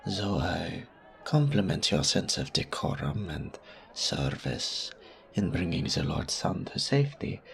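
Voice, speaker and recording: A haughty male servant of a noble house, acted by a man in an audio drama. His voice is very deep with a heavy creak, swooping through formal, over-polite phrases with pompous disdain. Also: fairly bright tone, full character voice. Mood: indignant, dismissive. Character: stuffy, haughty male agent of a baron. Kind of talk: audio roleplay drama acting.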